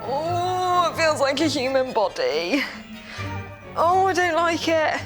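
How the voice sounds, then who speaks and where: A woman's voice: sits high, lively intonation, takes it slowly. A British woman chatting while she cooks, giggly and self-deprecating. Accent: British English. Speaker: contestant cooking. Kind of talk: casual chat while cooking. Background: music.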